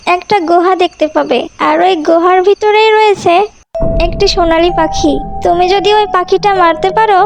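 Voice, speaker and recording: High-voiced solemn water fairy played by a woman. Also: fairly even intonation, moderate pace, soft background music. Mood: solemn warning. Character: solemn female water fairy giving warnings and guidance. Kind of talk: fairy-tale cartoon acting.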